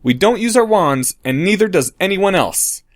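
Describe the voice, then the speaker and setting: A man's voice: a little high, animated, normal speed, no room sound, clean studio sound, voice pushed up. A man voicing a clever, earnest schoolboy wizard who raises his voice to take charge. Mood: shouting. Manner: earnest, rising to loud calls.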